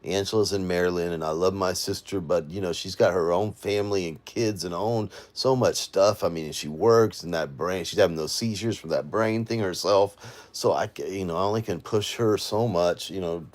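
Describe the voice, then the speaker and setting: Exhausted low-voiced man in his forties. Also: moderate pace. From Florida, USA. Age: 40s. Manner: weary, rambling, venting to viewers. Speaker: livestreamer. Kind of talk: casual late-night livestream chat. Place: at home, indoors.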